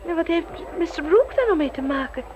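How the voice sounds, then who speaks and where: An actress playing a lively, inquisitive upper-class lady in an old radio play, with theatrical delivery. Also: extremely high pitch, fairly quick, noisy background. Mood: curious, amused.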